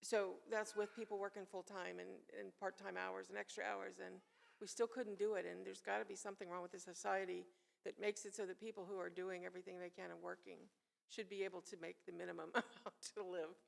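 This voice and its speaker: Creaky, even-toned woman telling an anecdote. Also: medium pitch, brisk. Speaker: church class participant. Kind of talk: personal anecdote in a discussion.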